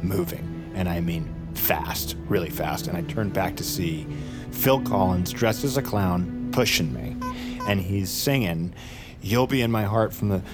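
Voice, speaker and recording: An actor playing a jittery, wry American assassin on the run, narrating an audio drama in a halting, self-interrupting way. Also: male voice, deep voice, loud music behind the voice. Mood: sheepish, wry. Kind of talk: audio drama acting and first-person narration.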